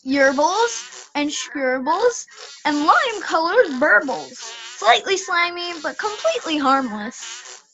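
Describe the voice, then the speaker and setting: An odd little storybook boy acted out by a woman in a children's radio play, matter-of-fact about his strange world. The voice is high and swoops around, taking its time. The sound is slightly muffled. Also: animated, slow, bright, forceful tone, faint music behind, full character voice. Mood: unbothered. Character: odd, unbothered storybook boy who eats dirt. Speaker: student radio play actor.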